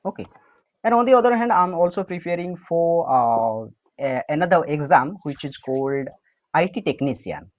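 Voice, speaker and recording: A man's voice: fairly high pitch, dull audio. A man from Odisha, a native Odia speaker, talking about learning English in a casual chat, with an Odia accent and a habit of saying "okay" between thoughts. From Odisha, India. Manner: animated, fills pauses with "okay". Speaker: interview guest, English learner. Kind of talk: casual conversation on a call.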